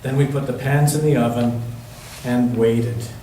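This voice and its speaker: A man reading a picture book aloud to children in a church, pausing to put questions to them. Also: medium pitch, fairly even intonation, warm tone. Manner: pauses to ask the listening children questions. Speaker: church children's story reader. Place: large echoey church interior. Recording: very echoey church room, distant mic, faint noise.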